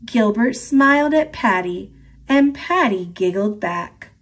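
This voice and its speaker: A woman reading a children's picture book aloud in a gentle, expressive manner. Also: mid-range voice, slow pace. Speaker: storybook reader.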